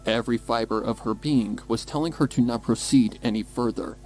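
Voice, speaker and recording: A man's voice: mid-range voice, a little fast, a little mellow, faint music behind. A man reading scripted, dramatic story narration. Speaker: fan fiction narrator.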